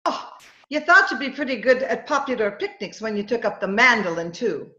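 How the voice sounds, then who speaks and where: A woman's voice: a little high, animated, fairly quick, pushed and bright. A woman playing a wry, sensible wife who needles her husband in an old-time radio comedy, on a dull, roomy call recording. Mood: teasing.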